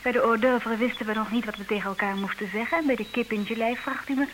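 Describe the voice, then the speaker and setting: A woman's voice: on the high side, fairly even intonation, fast talker, noisy background, lightly acted. A woman playing a wry, independent-minded, slightly old-fashioned single lady in a vintage comic radio play, polite and dryly teasing. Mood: wry reproach.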